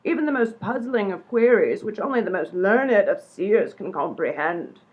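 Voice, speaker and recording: Radio comedy: pompous female psychic actress, husky and swooping, portentous delivery, dull lo-fi sound. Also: medium pitch, animated, normal speed. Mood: grandiose, solemn. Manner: theatrical, portentous pronouncements. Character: grandiose mystical female psychic, solemn and pompous. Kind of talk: comic radio play acting.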